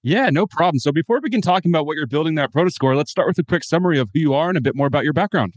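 Fast-talking male podcast host. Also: a little high, animated, no room sound. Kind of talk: scripted intro then casual interview.

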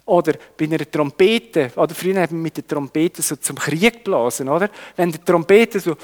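A man's voice: a little high, fairly quick, long reverb tail. A man with a Swiss German accent teaching a Bible study in a conversational way, with tag questions and restarts. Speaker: preacher.

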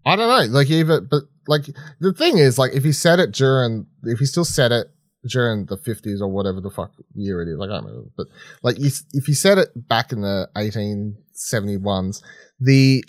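A man co-hosting a film podcast in casual chat, halting and rambling as he thinks aloud. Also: medium pitch, animated, no echo, studio quality. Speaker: podcast co-host.

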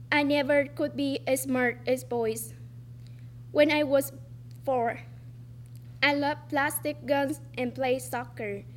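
A teenage girl from Vietnam reading her personal essay aloud on a stage, slowly and carefully, with pauses. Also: sits high, a little hiss or hum. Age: teens. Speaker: student storyteller. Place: performing arts center stage.

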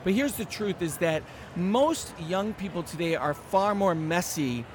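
Guest, male, 40s, high voice, animated and emphatic, recorded outdoors with clear background noise. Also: measured pace. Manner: animated, emphatic, self-deprecating humor. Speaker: guest speaker. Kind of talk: casual podcast interview.

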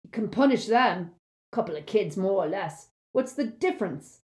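A woman's voice: mid-range voice, animated, normal speed, pitched above the performer's own voice, close to an everyday voice. A woman voicing a brutish, hot-tempered male wizard thug who talks in coarse dialect. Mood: crafty. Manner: loud, coarse dialect speech.

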